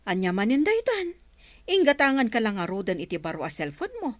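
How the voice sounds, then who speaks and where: An actress with an Ilocano Filipino accent playing a teasing, indulgent big sister in a radio drama. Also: female voice, mid-range voice, wildly swooping pitch, fairly quick, no echo.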